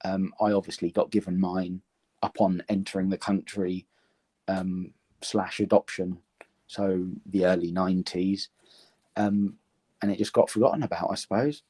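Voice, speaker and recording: Soft-spoken man with an English accent. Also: deep voice, little pitch movement, moderate pace. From Romania. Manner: earnest, thoughtful, many ums. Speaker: interview guest. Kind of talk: reflective conversation.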